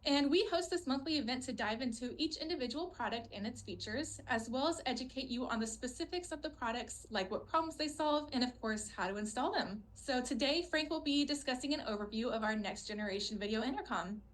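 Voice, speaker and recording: A woman's voice: high voice, brisk, few pauses, slight background noise. A woman hosts a webinar, reading a scripted introduction. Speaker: webinar host.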